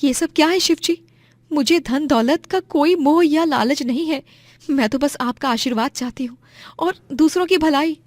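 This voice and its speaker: A woman's voice: high-pitched, moderate pace, dry recording, pitched above the performer's own voice, natural voice. A woman voicing a pious, brave and righteous village food-stall owner, fervent and emphatic, in a cartoon moral story. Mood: humble.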